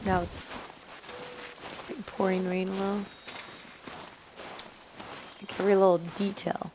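A woman's voice: medium pitch, little pitch movement, measured pace, noisy background. A young adult woman in a casual reaction chat as co-host. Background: game soundtrack music.

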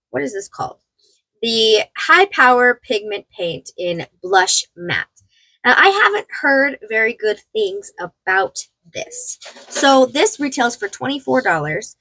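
Bright, chatty young woman reviewing makeup. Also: fairly high pitch, breathy edge, no room sound, low-quality recording. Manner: chatty and rambling, with many fillers and self-corrections. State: getting over a cold. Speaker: beauty vlogger. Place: small room at home, indoors.

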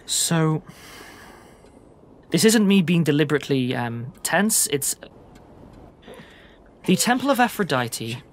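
A man playing a hesitant, grave man in a tabletop roleplay, speaking formally and carefully, with halting delivery. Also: on the high side, big pitch swings. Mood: tense, formal. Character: hesitant, grave man breaking difficult news. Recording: clear background noise.

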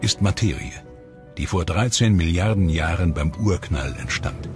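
A man narrating a science documentary in a measured, dramatic scripted delivery. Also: sits low, animated. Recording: studio voice-over with music behind. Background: clear background music.

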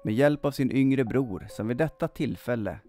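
A man in his thirties reading a scripted true-crime story in a measured, dramatic storytelling manner. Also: medium pitch, dark tone. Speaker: storyteller, channel host. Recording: music under the voice. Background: background music.

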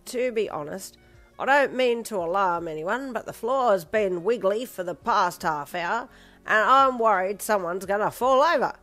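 A woman's voice: mid-range voice, unhurried, fairly bright tone, faint music behind, voice pushed up, lightly acted. A woman voicing a drunk, blunt, cheerful older father who slurs his words. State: drunk, slurring.

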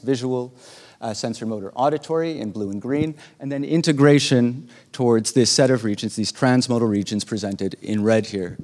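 A man giving a keynote talk at an academic conference, explaining slides in a measured way with frequent filled pauses. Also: fairly low pitch, a little mellow. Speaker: keynote speaker. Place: large lecture hall. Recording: reverberant lecture hall sound.

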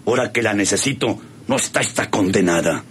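A man playing a scornful older ranch boss, a villain with a gruff, commanding manner and a Mexican accent, in a radio drama. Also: on the low side, a little fast, fairly bright tone, natural voice. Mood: scheming. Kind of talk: radionovela acting. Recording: noisy old radio serial recording.

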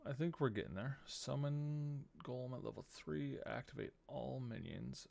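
A man's voice: medium pitch, moderate pace, vocal fry, a little mellow, slight background noise. A man in his thirties doing casual game commentary, muttering as he thinks aloud and reads on-screen text. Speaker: game streamer. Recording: game music behind the voice. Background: video game music.

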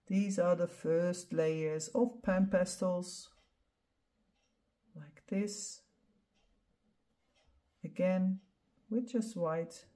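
Woman, Dutch accent, middle-aged, slow-paced, mellow tone, calm tutorial explaining. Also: lowish voice. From Netherlands. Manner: calm step-by-step explaining while demonstrating. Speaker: art instructor. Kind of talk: painting tutorial voice-over.

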